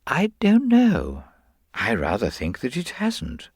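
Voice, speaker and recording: A man voicing a mild, hesitant middle-aged government investigator in an audiobook, speaking gently and precisely. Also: medium pitch, wildly swooping pitch, takes it slowly, slightly rough, no room sound, studio quality, voice pushed up, heavily acted. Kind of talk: dramatised audiobook character dialogue.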